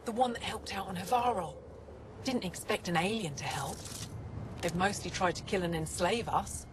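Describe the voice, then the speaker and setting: An actress playing a cheeky, eager alien lookout soldier in a video game, chatty in manner. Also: female voice, mid-range voice, normal speed, natural voice. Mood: awed. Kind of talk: dramatic game dialogue. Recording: clear ambient noise behind.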